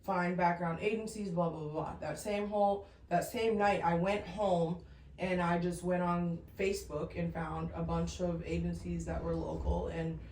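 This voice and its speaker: A woman in her thirties, born in Sudan and raised in the Twin Cities, telling her story as a guest in a casual interview, reflective and halting. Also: fairly low pitch, flat delivery, a little mellow, distant mic. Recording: echoey room, off-mic, faint noise.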